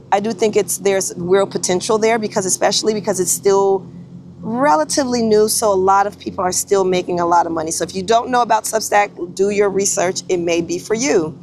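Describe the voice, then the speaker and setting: A woman's voice: mid-range voice, a little hiss or hum. A woman in her forties, a photographer vlogging in a casual, chatty way, often correcting herself and restarting sentences. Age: 40s. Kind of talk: casual talking-head vlog.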